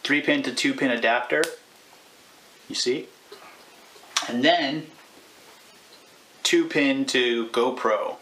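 A man in his thirties presents a casual home tutorial with a bright, forward voice at a medium pitch. He explains step by step in an informal, stop-and-start way. There is faint noise behind him, with small clicks. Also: slow pace, long pauses. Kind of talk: casual explanatory how-to talk. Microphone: clip-on lavalier or camera mic.

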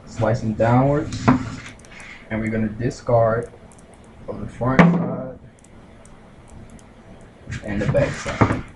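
A young man casually demonstrating how to prepare food in a small home kitchen, explaining each step slowly with frequent pauses and fillers. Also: medium pitch. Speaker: home cook. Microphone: camera mic at a distance. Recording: roomy kitchen with loud background noise.

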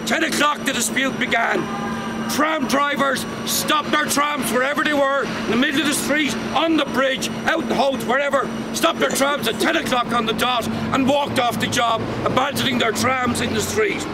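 An older Irish man guiding a walking tour outdoors, reading from notes with emphatic delivery, picked up by a far camera on a city street. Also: very high-pitched. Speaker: tour guide. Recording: loud traffic noise outdoors.

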